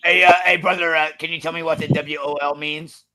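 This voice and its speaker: A man's voice: a little high, flat delivery, fairly quick, sharp tone. A man joining a live-stream panel as a guest, talking hesitantly with many fillers, over a noisy remote call. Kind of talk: casual panel chat.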